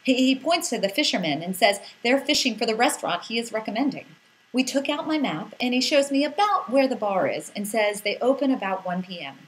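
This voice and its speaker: A woman's voice: fairly high pitch, lively intonation, a little fast, low-quality recording. Woman narrating a personal story, expressively. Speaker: narrator reading a personal essay.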